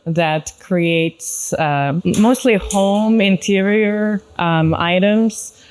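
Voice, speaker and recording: A woman from Switzerland speaking as a panel guest, explaining her work in a measured, conversational way. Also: mid-range voice, a little mellow, slight background noise. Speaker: panel guest, craft brand founder and designer. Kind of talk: conversational self-introduction in a panel talk.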